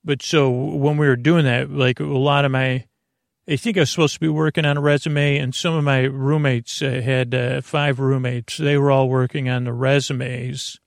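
Male sleep-podcast host: gravelly fry, close dry sound, gentle, reassuring, unscripted and wandering. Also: medium pitch, flat delivery, no echo. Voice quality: creaky, gravelly. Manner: meandering, halting, trails off into tangents and self-corrections. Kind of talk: rambling, unscripted bedtime podcast monologue.

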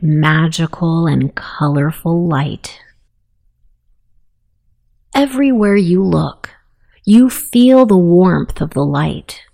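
A woman leading a guided meditation in a soothing, hushed manner with long pauses. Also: on the low side, creaky. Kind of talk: guided meditation, self-hypnosis narration.

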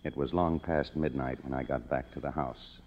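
An actor playing a cynical, self-reproaching young screenwriter, wry and hard-boiled, in a 1950s radio drama. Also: male voice, rumbling low, fairly even intonation, moderate pace, noisy background. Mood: brooding, wry. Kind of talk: 1950s radio drama, first-person narration and dialogue. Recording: muffled old radio broadcast with music.

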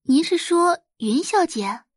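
A woman acts out a young rich-family daughter for an audio drama. She speaks in a soothing, coaxing way, all consideration on top and scheming underneath. The pitch moves a lot and the sound is dry and close. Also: expressive, takes it slowly, dry recording, exaggerated voice. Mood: sweet, coaxing. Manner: gentle, coaxing.

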